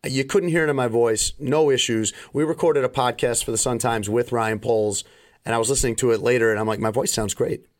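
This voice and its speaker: A man's voice: mid-range voice, steady tone, a little fast. A middle-aged man hosting a podcast in casual chat, rambling through stories with plenty of fillers, his voice still recovering and a little limited in range. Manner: rambling storyteller, frequent fillers. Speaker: podcast host.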